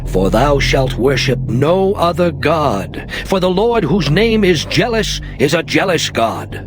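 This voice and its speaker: A man playing a solemn, earnest biblical speaker in a dramatized scripture reading, measured and declamatory. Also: on the high side, big pitch swings, over music. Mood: stern commanding.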